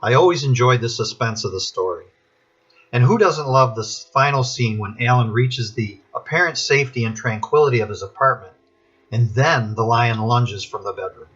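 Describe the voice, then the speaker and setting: A man giving prepared spoken commentary as a podcast contributor, in measured, formal phrasing. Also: medium pitch, fairly bright tone, slightly rough. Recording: dull lo-fi recording.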